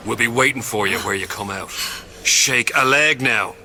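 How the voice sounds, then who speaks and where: A man's voice: mid-range voice, moderate pace, heavy background noise, lightly acted. An actor playing a menacing, folksy male gangster leader with an Irish accent and falsely polite taunts in a video game. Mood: curt, commanding. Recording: loud game music behind.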